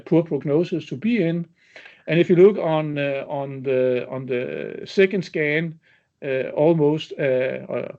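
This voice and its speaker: A man presenting clinical results as an executive on an investor webinar, speaking haltingly with frequent ums. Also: fairly high pitch, a little mellow. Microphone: webinar call connection. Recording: dull, band-limited webinar audio.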